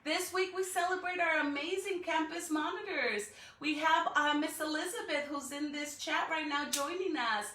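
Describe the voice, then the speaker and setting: A woman's voice: sits high, normal speed, few pauses, bright, forceful tone, recorded from a distance. A woman hosting a school read-aloud, talking to young children in an encouraging way with frequent check-in questions. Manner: encouraging, checks in often with rhetorical questions and "right?". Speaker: elementary school staff member hosting a read-aloud for students. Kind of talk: addressing children on a live stream, then reading a storybook. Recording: roomy echo, away from the mic.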